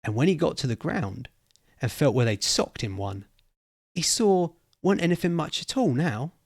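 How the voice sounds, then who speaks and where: A man's voice: a little high, big pitch swings, normal speed, gravelly, no echo, studio quality, pitched above the performer's own voice, exaggerated voice. A man voicing an opinionated old cockney pub storyteller, theatrical and emphatic, thick with slang. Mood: relishing.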